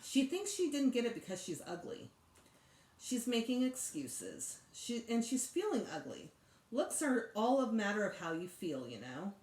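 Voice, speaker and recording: A woman reading a storybook and voicing a patient, reassuring mother who calmly explains things. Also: fairly high pitch, unhurried, voice pushed up. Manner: calm, explaining. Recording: roomy echo.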